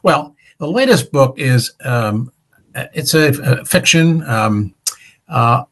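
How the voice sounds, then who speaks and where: An older man answering interview questions as a guest author, in a measured, plain-spoken, slightly hesitant way. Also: medium pitch, expressive, fairly bright tone.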